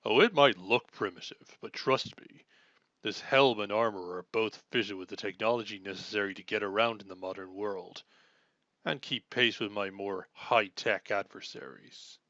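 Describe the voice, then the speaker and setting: Casting: male actor as noble sword-wielding superhero, bright forceful tone, formal and self-assured, dry slightly dull recording, audio drama. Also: lowish voice, moderate pace, dry recording. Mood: confident, reassuring. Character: earnest, chivalrous knight-like armored male superhero swordsman. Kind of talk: scripted audio roleplay acting.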